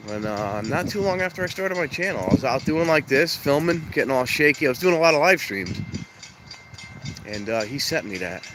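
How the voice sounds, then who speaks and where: A man's voice: on the high side, animated, brisk. A man with a stuffed-up nose chatting casually as a train-spotting vlogger outdoors at a railroad crossing, filming on a camera mic. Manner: rambling, chatty, easygoing. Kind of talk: casual live-stream chat. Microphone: handheld camera mic, wind noise. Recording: loud traffic and outdoor noise.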